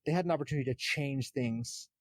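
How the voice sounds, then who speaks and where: A man's voice: mid-range voice, fast talker, dry recording. A man talking casually about video games as a streamer guesting on a podcast.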